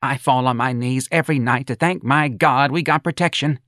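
Man putting on a high-pitched indignant Southern mother. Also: normal speed, a bit bright, no echo, studio quality, natural voice. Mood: righteous, pleading. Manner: scolding, pleading, colloquial. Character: protective, indignant older Southern working-class mother.

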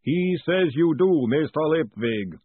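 A man voicing a slow, solemn male golem servant who speaks in flat, deliberate sentences. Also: fairly high pitch, husky, dry recording, voice pushed up, exaggerated voice. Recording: tinny phone-like audio.

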